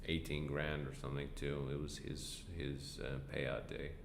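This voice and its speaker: A man's voice: a bass voice, monotone, normal speed, gravelly, background noise. A congested, tired man hosting a casual live stream, thinking aloud with hesitant fillers and restarts. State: congested, stuffy nose; tired from lack of sleep. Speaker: trading stream host.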